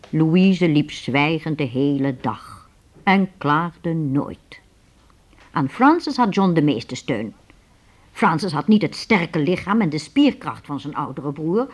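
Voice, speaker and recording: A woman narrates a radio play as dramatic storytelling. Her low, husky voice moves expressively up and down, with music underneath. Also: a little mellow.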